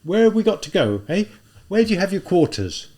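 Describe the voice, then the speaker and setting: A man's voice: high-pitched, sing-song, brisk, warm tone, voice pushed up. A man voicing a kindly, fussy old policeman who frets with pity over a drunk girl. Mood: fretful pity. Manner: fretful, clucking pity.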